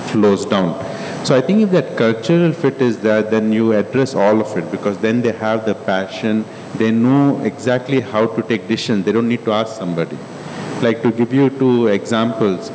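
A middle-aged man on a stage panel, speaking calmly and in a measured way into a handheld mic. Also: on the low side. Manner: calm, measured explaining. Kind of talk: panel discussion. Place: large stage hall. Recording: echoey hall with faint hum.

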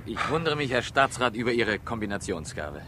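An actor playing a polite, attentive young journalist in a 1960s radio crime drama, speaking briefly and courteously. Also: male voice, mid-range voice, very animated, fairly quick, sharp tone. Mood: polite, curious. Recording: noisy 1960s radio recording.

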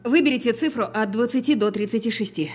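A woman's voice: a little high, fast, a little hiss or hum, close to an everyday voice. A woman acting in an audio drama as a weary, despairing, insistent caller who speaks bluntly and with resignation. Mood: insistent, impatient. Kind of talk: audio drama phone dialogue.

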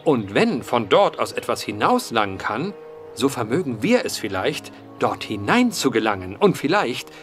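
An actor playing an earnest, methodical man who investigates vanishings, speaking formally in old-fashioned, measured phrases in a horror audio drama. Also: mid-range voice, big pitch swings, a bit bright, faint music behind. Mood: earnest, deliberate.

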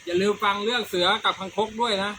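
A man's voice: high-pitched, fast, a loud crowd, poor audio. A middle-aged man, telling comic stories casually as a show host, chatty, repeating words and using crude slang. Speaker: host of a comedy storytelling show.

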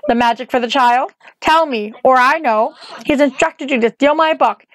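A woman's voice: normal speed, dry recording. A woman voicing a weary, imperious witch with a commanding, sardonic and menacing manner in an audiobook. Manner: low, even, commanding. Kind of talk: audiobook character acting.

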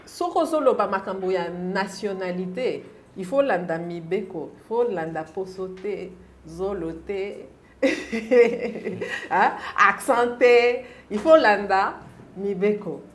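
Animated middle-aged woman, studio guest. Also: medium pitch, slow. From Democratic Republic of the Congo. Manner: animated, gesturing with her hands, insistent. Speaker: interview guest. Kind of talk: studio interview, mixing French and Lingala. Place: TV studio, indoors.

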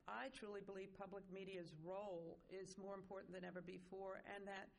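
A middle-aged woman speaking on a conference panel, earnest and measured, with frequent fillers. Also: medium pitch, gravelly, a little hiss or hum. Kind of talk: panel discussion.

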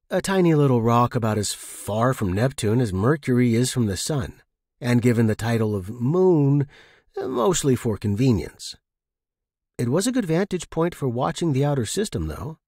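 A man hosting and narrating fiction in a slightly husky voice. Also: mid-range voice, big pitch swings, moderate pace, darkish tone, no echo, studio quality. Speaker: podcast host and narrator. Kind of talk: scripted narration.

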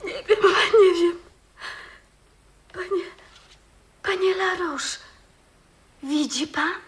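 Very high-voiced anxious young granddaughter. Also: female voice, drawn-out words, heavily acted. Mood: frightened, agitated. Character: frightened, excitable young granddaughter Frida. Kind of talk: 1960s radio drama acting. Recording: echoey vintage radio studio.

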